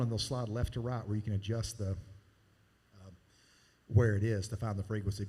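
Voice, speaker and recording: A man walks a church team through its sound board in a loose, folksy way, explaining as he works the controls. His voice is mellow and dark, and it carries a long reverb from a live church sanctuary. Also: medium pitch, husky. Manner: casual, folksy, talks while demonstrating. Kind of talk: hands-on sound board training.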